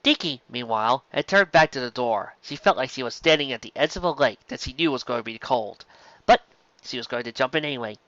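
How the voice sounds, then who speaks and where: A narrator reading a story aloud in an expressive audiobook style. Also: mid-range voice, a little fast, dry recording, lo-fi sound.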